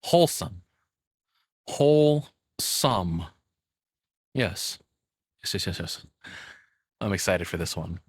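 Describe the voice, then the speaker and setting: A man's voice: low-pitched, lively intonation, slow pace, long pauses, slightly rough, dry recording, studio quality. A man giving casual, playful, tongue-in-cheek live commentary as a streamer reacting to a video.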